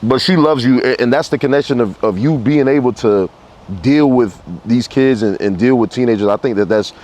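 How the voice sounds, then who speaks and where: Easygoing father, mid-pitched voice. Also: normal speed, a little mellow. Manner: easygoing, repetitive fillers, affirming. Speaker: parent, guest. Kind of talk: casual conversation.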